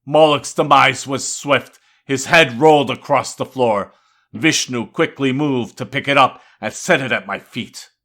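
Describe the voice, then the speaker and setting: A man reads poetry aloud with dramatic weight. His voice is forceful and sharp-edged at a middling pitch. The delivery is solemn and declamatory, with emphatic phrasing. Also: unhurried. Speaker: poetry reader. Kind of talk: dramatic scripted poetry reading.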